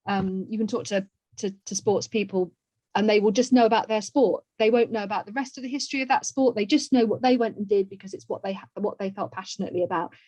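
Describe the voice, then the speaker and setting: A woman's voice: mid-range voice, fast, mellow. A woman hosting and chatting in an online discussion, recorded over a video call. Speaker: webinar host. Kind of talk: conversational webinar discussion. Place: indoor room on a video call. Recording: muffled, echoey video call audio.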